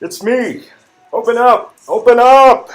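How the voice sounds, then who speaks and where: A man voicing an excitable, flamboyant young Hollywood socialite best friend in a gushing, dramatic way, read from a story game. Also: extremely high pitch, slow pace, voice pushed up, poor audio. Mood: urgent, excited. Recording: music in background.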